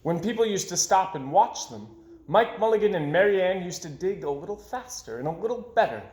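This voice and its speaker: Male children's storyteller: lighter high-ish pitch, very animated, dramatic, hushed endings. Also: a little fast. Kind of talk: expressive children's story read-aloud. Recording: echoey room.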